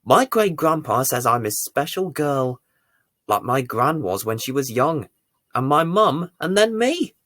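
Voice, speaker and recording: A man reading a bedtime story to young children as its presenter, with deliberate, theatrical emphasis. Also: mid-range voice, very animated, dry recording, studio quality. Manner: deliberate, theatrical emphasis with dramatic swells and hushes.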